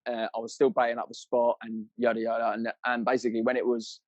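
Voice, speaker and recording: A man's voice: medium pitch, monotone, fast, no echo, muffled recording. A British man telling anecdotes as a podcast guest, chatty, rambling and full of casual slang.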